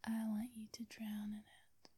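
A woman with an English accent speaking in a breathy whisper, sultry and teasing, in an intimate ASMR roleplay style. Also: mid-range voice, completely flat delivery, unhurried, warm tone, no echo.

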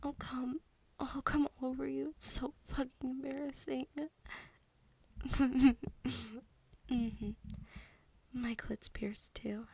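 A woman's voice: high voice, fairly even intonation, slow, long pauses, no echo. A young woman whispering close to the mic in a pleading, submissive tone for an intimate audio roleplay. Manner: breathy whispering, close and hushed.

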